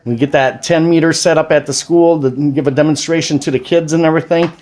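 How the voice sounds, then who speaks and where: A man's voice: fairly high pitch, steady tone, a little fast, darkish tone. A man of about forty with an American accent, explaining things in an informal, rambling way at home. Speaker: amateur radio operator and father. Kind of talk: casual explanatory chat to camera. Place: indoors at a home radio station.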